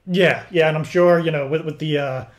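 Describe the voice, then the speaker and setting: A man's voice: a little high, fairly even intonation. Rambling, stammering man on a call. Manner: rambling, stammering, halting delivery. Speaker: prank caller. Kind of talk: casual phone conversation posing as interviewer.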